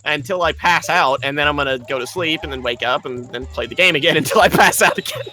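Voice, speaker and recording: A man hosting a live stream, chatting casually with friends, excitable and quick to laugh and shout. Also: fairly high pitch, faint music behind.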